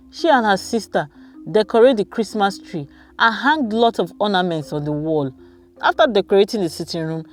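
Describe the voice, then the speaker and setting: A woman's voice: on the low side, darkish tone. A woman narrating a scripted folktale for children as a storyteller.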